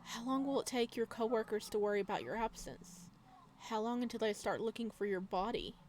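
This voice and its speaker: Woman gaming narrator, clearly noisy recording. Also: on the high side, fairly quick, darkish tone. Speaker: gaming video creator. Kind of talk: video game let's play, reading on-screen text aloud and commenting.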